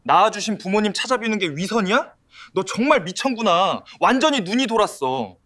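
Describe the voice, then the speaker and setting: A man's voice: high voice, lively intonation, fairly quick, a bit bright. A man voicing an exasperated husband in an audio drama, pleading and accusing. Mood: angry. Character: exasperated husband devoted to his mother.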